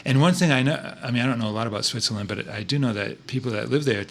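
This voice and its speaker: A man's voice: medium pitch, fast, gravelly. A man hosting a casual podcast interview who asks curious, hesitant questions filled with fillers. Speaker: podcast host.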